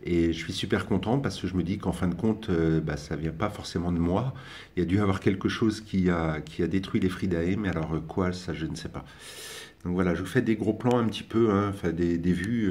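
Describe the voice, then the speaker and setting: A young man speaks in a deep, low voice, talking casually about his hobby while he films. He hesitates often, trailing off and restarting his sentences with filler sounds. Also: normal speed, a little mellow. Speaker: ant-keeping hobbyist vlogger. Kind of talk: casual spoken commentary over filming.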